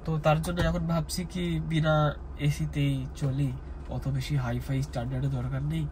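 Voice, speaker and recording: A young man with an Indian Bengali accent chats casually to viewers, explaining things and mixing in English words. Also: fairly high pitch. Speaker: vlogger. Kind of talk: casual chatty vlog to viewers. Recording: noisy outdoor vlog with traffic hum.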